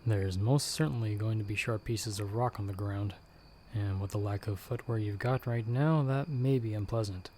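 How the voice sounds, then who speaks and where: Man acting a low-voiced sardonic monster hunter. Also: moderate pace, a little hiss or hum, close to an everyday voice. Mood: tense, instructing. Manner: hushed, stern, sardonic, urgent instructions. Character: gruff, sardonic male monster hunter, a disembodied guiding voice. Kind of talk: ASMR audio roleplay, addressing the listener.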